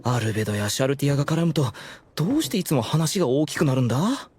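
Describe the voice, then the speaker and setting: A man's voice: medium pitch, sing-song, fast, darkish tone, a little hiss or hum, close to an everyday voice. A man playing a male ruler in an anime audio drama, formal and lordly but privately awkward and exasperated. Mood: musing, weary. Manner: formal authority slipping into casual muttering. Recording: music behind the voice.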